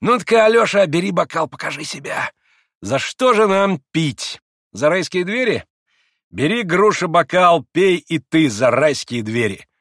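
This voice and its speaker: A man reading an audiobook and voicing a spiteful young man who drawls his taunts in a shrill, mocking way. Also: expressive, measured pace, a bit bright, no room sound, clean studio sound, full character voice. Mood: taunting.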